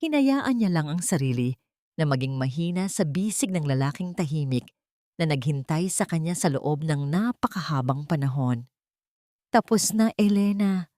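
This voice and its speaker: A woman's voice: low voice, animated, normal speed, warm tone, no echo, studio quality. A woman reading a scripted, dramatic love story as its narrator.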